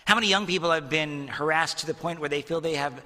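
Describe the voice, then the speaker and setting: A priest preaches from the pulpit in a bright, fairly high voice. He speaks earnestly and at a measured pace, often asking rhetorical questions. His words ring out with the long reverb of a church. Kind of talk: sermon.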